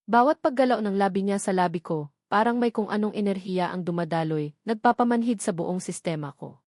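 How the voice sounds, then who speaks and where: Female romance narrator, Filipino accent, mid-pitched, emotive dramatic storytelling, dry recording. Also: brisk, a little mellow, no echo.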